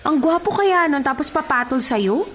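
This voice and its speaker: An actress playing a blunt, sceptical young best friend in a radio drama, sassy and mock-exasperated, with a Filipino accent. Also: female voice, sits high, animated, moderate pace, a bit bright. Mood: sassy, teasing.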